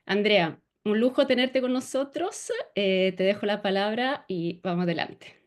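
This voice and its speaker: Woman host, Latin American Spanish accent, formal scripted introduction at an average pitch. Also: unhurried. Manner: reads a formal guest bio, light fillers. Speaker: webinar moderator.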